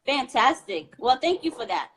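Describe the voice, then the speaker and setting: A woman's voice: sits high, big pitch swings, moderate pace, a bit bright, breathy edge. A woman hosting an online interview, friendly and laughing often as she asks questions. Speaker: host, interviewer.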